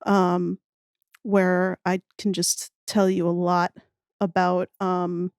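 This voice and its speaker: A woman's voice: fairly low pitch, little pitch movement, slow pace, clean tone, no room sound, studio quality. A woman guest on a podcast, chatting casually and thinking aloud with a wry, talkative manner. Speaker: podcast guest, audio fiction writer. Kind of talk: casual chat.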